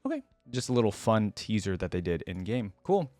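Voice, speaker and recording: A man's voice: fairly low pitch, big pitch swings, brisk, a little mellow, dry recording. Casual man reacting, dry close sound. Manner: casual, enthusiastic. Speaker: video game fan reacting on his channel.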